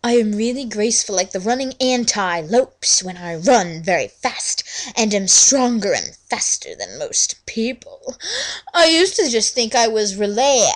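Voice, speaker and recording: A woman's voice: medium pitch, very animated, measured pace, low-quality recording. A woman giving a theatrical dramatic reading of a story in an exaggerated, mock-serious manner. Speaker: fanfiction reader.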